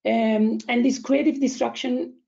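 A man in his thirties with an Italian and Spanish accent, presenting an online academic seminar in a halting way, with many fillers and restarts, over a video call from home. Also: extremely high pitch, steady tone, gravelly, darkish tone. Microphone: laptop webcam, video call. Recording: dull lo-fi video call audio.

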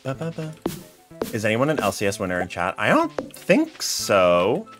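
A man's voice: on the low side, normal speed. A young man from the United States chatting on a live stream while gaming, telling stories and reading chat aloud from a small home room. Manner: chatty, animated storytelling, reads chat aloud. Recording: faint game music behind.

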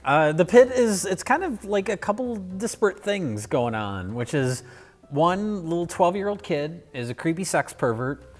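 A man's voice: high-pitched, animated, normal speed, faint music behind. A man talking casually as a panelist on a film review show.

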